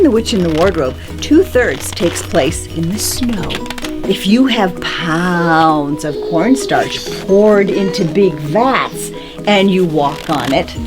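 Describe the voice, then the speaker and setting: A woman giving an explanatory tour, telling it like a story with theatrical little demonstrations. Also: fairly low pitch. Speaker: studio tour guide.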